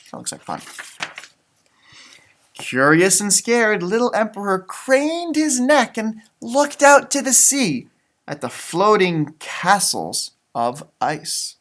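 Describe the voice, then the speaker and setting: Man, very animated intonation, children's storybook read-aloud, expressive, dramatic emphasis, casual explanatory asides. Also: high voice. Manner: expressive, dramatic delivery with sound effects and exclamations; chatty, simple explanations addressed to children. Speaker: elementary school music teacher reading storybooks aloud.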